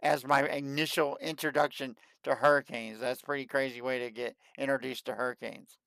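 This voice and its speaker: Excitable man in his thirties, smooth voice. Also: medium pitch, fairly quick, dry recording, rough recording. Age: 30s. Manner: excitable, repeats himself. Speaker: livestream host. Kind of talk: casual chat.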